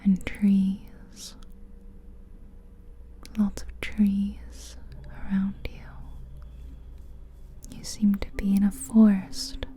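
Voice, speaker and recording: Very soft whispering woman, slow and dark. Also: medium pitch, completely flat delivery. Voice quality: breathy whisper. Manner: gentle, soothing, unhurried with long pauses. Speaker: ASMR guide narrating a guided visualization. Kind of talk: soft-spoken ASMR guided visualization. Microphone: close-up ear-to-ear ASMR microphone.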